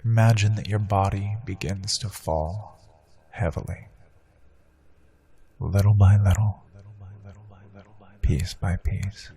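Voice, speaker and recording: A man leading a guided hypnosis meditation, speaking slow soothing affirmations that drift into soft whispers. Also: low voice.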